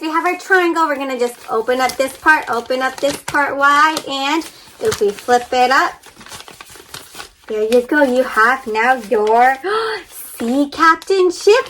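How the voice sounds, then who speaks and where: A woman reading a storybook aloud to young children with animated, wide-eyed expression, guiding them directly as she goes. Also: high-pitched, slight background noise. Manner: addresses young listeners directly with questions and sound effects. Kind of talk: interactive storybook reading and paper-folding instruction.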